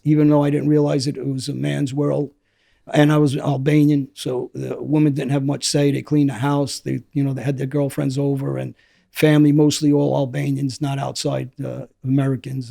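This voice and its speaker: Gravelly hoarse New man. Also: medium pitch, mellow. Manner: rambling, repeats words and restarts often. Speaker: interview guest. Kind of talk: candid storytelling.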